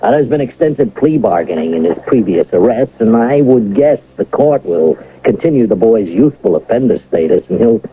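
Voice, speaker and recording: Radio drama cop: male actor, hesitant explainer, practical, expressive, slightly noisy muffled recording. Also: medium pitch, lightly acted. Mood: firm, placating. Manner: halting, explanatory. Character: hesitant, pragmatic police detective sergeant. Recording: muffled old radio broadcast, faint noise.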